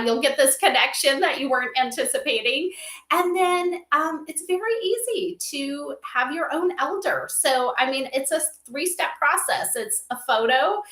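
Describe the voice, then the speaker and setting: A woman's voice: high voice, pushed and bright. A woman guest chatting casually and enthusiastically in an interview, with a loose conversational flow, recorded in a roomy livestream setup. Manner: enthusiastic, conversational, peppered with "you know". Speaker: interview guest, company advisor. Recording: roomy, echoey livestream audio.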